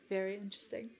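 A South African woman in her twenties whose first language is Afrikaans. She chats to a follower in a giggly, hesitant, soft-spoken roleplay and sometimes drops to a whisper. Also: medium pitch, vocal fry. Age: 20s. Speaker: content creator addressing a follower. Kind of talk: roleplay chat to the listener.

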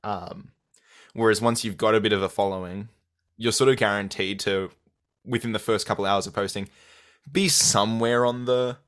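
Man, Australian accent, slightly low voice, measured, thoughtful, frequent restarts, young adult. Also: fairly even intonation, a bit bright. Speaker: guest, audio content creator. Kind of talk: casual interview answers.

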